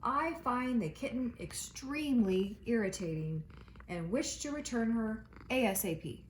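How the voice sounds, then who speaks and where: A woman's voice: on the high side, expressive, a little slow, reverberant, a little hiss or hum, rough recording. A woman voicing a grumpy, aggrieved male pet cat in a storybook, speaking in a stiff, formal manner. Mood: irritated, formal.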